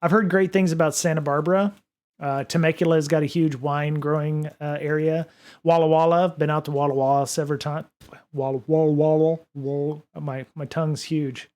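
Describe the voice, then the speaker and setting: A man with a slightly high voice talks loosely to his livestream audience from a home studio desk microphone. He rambles, stacks up fillers and restarts his sentences often, easygoing and unscripted. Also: level tone, moderate pace, darkish tone. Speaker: podcast and livestream host. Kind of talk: casual livestream chat reading viewer comments.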